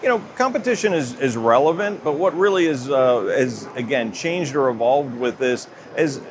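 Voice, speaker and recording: A man's voice: medium pitch, expressive, moderate pace. American executive man over noisy ambience. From United States. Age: middle-aged. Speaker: company executive being interviewed. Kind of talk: trade show interview. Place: trade show floor. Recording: noisy show-floor ambience.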